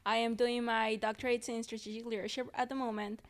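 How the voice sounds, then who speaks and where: A woman's voice: on the high side, level tone, brisk. A woman in her twenties from El Salvador, a native Spanish speaker, talking as a podcast guest in casual chat, hesitant, with many fillers and restarts. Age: 20s.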